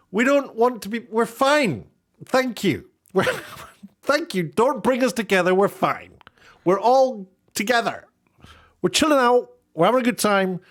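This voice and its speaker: A British man giving casual opinion commentary in a sarcastic, mocking way, sounding exasperated and incredulous. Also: on the high side. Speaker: YouTube commentator.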